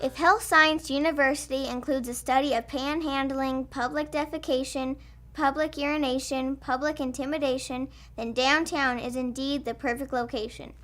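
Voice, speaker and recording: An indignant complainer, acted by a woman, reads out grievances with mock solemnity in a very high voice. It is a theatrical comedy reading, with faint music underneath. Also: fairly even intonation, moderate pace, a bit bright. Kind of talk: dramatic reading of an online comment.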